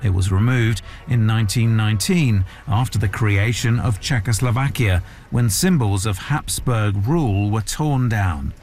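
A man's voice: fairly low pitch, a little slow, darkish tone, soft background music. Male correspondent narrating a report. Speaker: foreign news correspondent. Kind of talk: scripted radio report narration.

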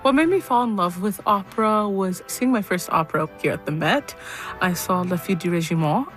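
A woman's voice: medium pitch, expressive, brisk, a bit bright. Woman from Alabama, friendly and upbeat, over faint music. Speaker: opera competition finalist. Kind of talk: recorded radio interview clip.

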